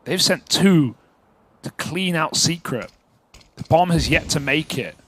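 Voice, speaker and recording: High-voiced male esports commentator over noise. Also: expressive, a little slow, a bit bright. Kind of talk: live esports play-by-play and analysis.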